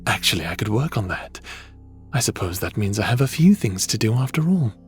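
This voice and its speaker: An actor playing a calm, affectionate underworld god in an ASMR fantasy roleplay, talking in a measured, gently teasing way to the listener. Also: male voice, on the low side, faint music behind. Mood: reassuring, fond. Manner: measured, softly teasing, addresses listener as little one.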